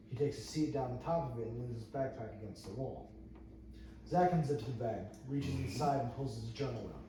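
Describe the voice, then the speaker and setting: Man narrating a script in an echoey room. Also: mid-range voice. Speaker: narrator reading stage directions. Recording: very echoey room, distant mic, noisy.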